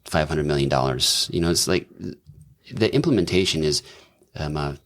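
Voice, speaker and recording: A man's voice: a bass voice, animated, moderate pace, fairly bright tone. A middle-aged man, a doctor and businessman, talking as a podcast guest in a thoughtful, rambling way with many fillers and restarts. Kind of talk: casual conversational interview.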